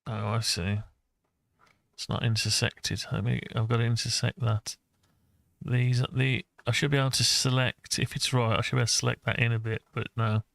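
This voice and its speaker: A man thinking aloud and muttering to himself through an unscripted software walkthrough. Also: medium pitch, little pitch movement, normal speed, vocal fry, dry recording. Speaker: hobbyist tutorial maker.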